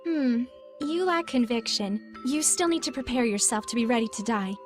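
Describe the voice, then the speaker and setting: An actress playing a calm, reassuring female gatekeeper of a death cult in a video game, with measured delivery. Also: a little high, soft background music, natural voice. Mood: serene, testing. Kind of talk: video game character acting.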